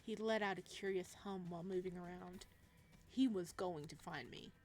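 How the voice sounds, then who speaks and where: A woman's voice: on the low side, normal speed, a little mellow. A woman let's player reading game text aloud and commenting casually, in a playful, giggly, reactive way. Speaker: let's player narrating a visual novel.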